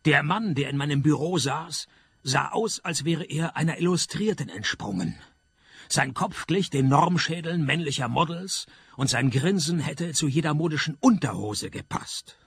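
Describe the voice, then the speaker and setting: An actor playing a sardonic, weary private detective, narrating a comic hard-boiled radio play in a deadpan manner. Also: male voice, a little high, moderate pace, gravelly, husky, dry recording, exaggerated voice. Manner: deadpan, dry wit. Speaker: narrator and main character.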